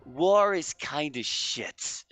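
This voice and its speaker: Male game commentator, bright and a little high-pitched, casual and searching for words. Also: expressive, extremely slow. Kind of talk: casual historical chat over gameplay. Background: music.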